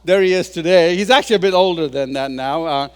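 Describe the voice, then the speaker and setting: Man in his 60s, high voice, joking storyteller, self-deprecating, long hall reverb. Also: fast, smooth. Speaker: guest speaker. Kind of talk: humorous public talk.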